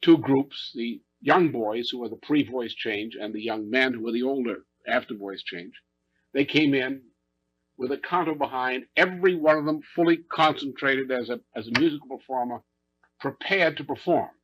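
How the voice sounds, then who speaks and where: A man giving an unscripted spoken account in an emphatic, deliberate way, with short repeated phrases. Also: medium pitch, husky, dry recording. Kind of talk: unscripted speech recounting a musical performance. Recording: muffled, lo-fi speech recording.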